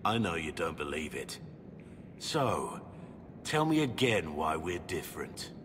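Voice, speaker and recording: An actor playing a gruff, guilt-ridden soldier in a video game, speaking gravely and deliberately with bitterness. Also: male voice, sits low, big pitch swings. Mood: grave remorse. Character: guilt-ridden gruff soldier, disgraced male impostor warden. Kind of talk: dramatic video game acting. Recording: noisy game capture in reverberant hall.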